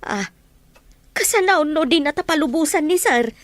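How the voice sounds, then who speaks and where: An actress playing a loyal, nervous household helper in an Ilocano radio drama. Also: female voice, sits high, lively intonation, normal speed, dry recording. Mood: deferential, compliant.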